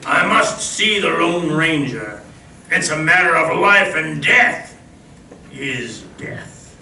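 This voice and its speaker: A man's voice: on the high side, animated, takes it slowly, vocal fry, pushed and bright, exaggerated voice. A man playing a terse, sinister stranger in an old-time radio western. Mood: grim, insistent. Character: sinister stranger, terse male outlaw on horseback. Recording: distant echoey live stage recording, noisy.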